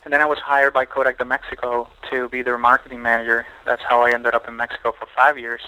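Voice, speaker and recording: A middle-aged man from Puerto Rico, a photographer being interviewed, talking thoughtfully and haltingly with many fillers and restarts. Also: medium pitch, flat delivery, pushed and bright, low-quality recording. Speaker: photographer guest. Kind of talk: podcast interview.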